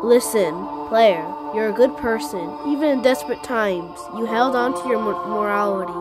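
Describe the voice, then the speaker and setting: A protective, encouraging dog police partner from a fan-voiced game , played by a woman. The delivery is high and earnest, with small stumbles, under loud music. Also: normal speed, natural voice. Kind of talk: amateur game cutscene voice acting.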